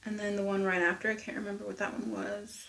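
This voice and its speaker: A woman losing her voice, chatting casually and rambling through a makeup tutorial with soft near-whispered asides. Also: mid-range voice, flat delivery, fast, vocal fry, roomy sound. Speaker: beauty vlogger. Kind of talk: casual get-ready-with-me makeup chat. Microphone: close mic at desk.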